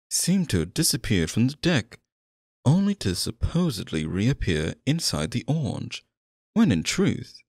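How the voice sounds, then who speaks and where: Man acting a sinister, theatrical stage magician, animated. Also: lowish voice, no room sound, studio quality, heavily acted. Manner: theatrical, coaxing, drawn-out phrasing. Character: sinister smooth-talking male stage magician, possessive and courtly. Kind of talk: ASMR roleplay, theatrical acting to the listener.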